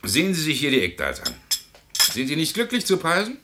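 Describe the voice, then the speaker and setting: An actor playing a sardonic, pragmatic doctor with a wry, forceful manner in a 1950s radio play. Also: male voice, on the high side, wildly swooping pitch, brisk, noisy background. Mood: wry, jovial.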